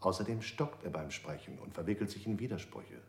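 A cold, scornful interrogator. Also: male voice, deep voice, expressive, a little fast, roomy sound. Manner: scornful listing of traits. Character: cold, prejudiced male interrogator. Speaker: interrogator in a radio play.

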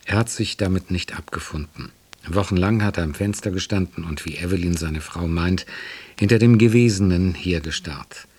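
Man, radio announcer, low pitch, husky tone, scripted broadcast reading. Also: moderate pace, darkish tone.

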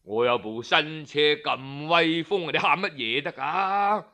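A man's voice: on the high side, slow, pushed and bright, full character voice. Man playing a cocky Cantonese coachman husband. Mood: boastful, baffled. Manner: swaggering, colloquial.

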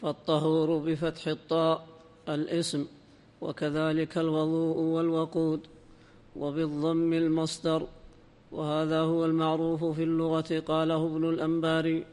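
A man reading a classical religious text aloud as a student in a lesson, in a formal, measured, half-chanted recitation. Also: a little high, completely flat delivery, a little mellow. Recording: long hall-like reverb.

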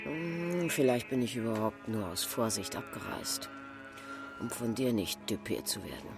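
A woman's voice: very deep voice, animated, a little slow, over music, full character voice. A woman acting a scornful, capricious Parisian prostitute of 1920 in a German radio play. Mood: brooding. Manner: mocking, capricious.

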